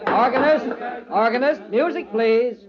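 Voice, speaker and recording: An actor playing a jovial party host with a secret lover, in theatrical old-time radio style. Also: male voice, very high voice, unhurried, a crowd in the background. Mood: mock-grand, playful. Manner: theatrical mock-pompous speechmaking, hushed urgency in private. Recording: dull old radio recording, organ music behind.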